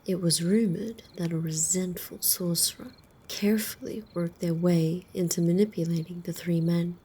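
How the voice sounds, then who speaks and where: A woman playing a calm, ancient female vampire in an ASMR roleplay, telling old lore in a soft, measured half-whisper. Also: fairly low pitch, exaggerated voice. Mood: solemn, secretive. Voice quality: soft, breathy, often whispering. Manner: measured, deliberate, hushed storyteller. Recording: faint outdoor night noise, crickets.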